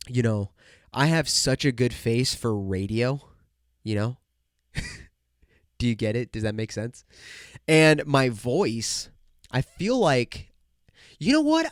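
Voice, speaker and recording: A man's voice: mid-range voice, sing-song, slow pace, long pauses, no echo, studio quality. A young man hosting a solo podcast who talks in a hyped, joking way, calling his listeners "bro" and "dude", and sounds nasal and congested from a cold. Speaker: podcast host. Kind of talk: casual solo podcast rant.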